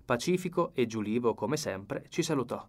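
A man with a mid-pitched voice narrates a novel as an audiobook. His storytelling is measured and expressive. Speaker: audiobook reader.

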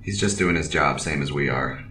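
An actor playing a dutiful male officer in a space drama, speaking in a measured, formal, matter-of-fact way. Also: rumbling low, sharp tone, far from the mic, a little hiss or hum. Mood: calm, concerned. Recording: off-mic with faint music behind.

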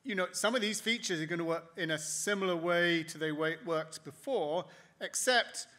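A man giving a keynote at a technology conference, walking through slides in a halting way with many restarts and repeated words. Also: high-pitched, sharp tone. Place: conference hall, voice over screen capture.

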